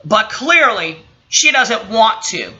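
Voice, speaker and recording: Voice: mid-range voice, big pitch swings, takes it slowly, bright, forceful tone, highs cut off. An indignant commentator giving impromptu, opinionated reactions, swearing and stressing points emphatically. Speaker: commentator reacting to a recorded call.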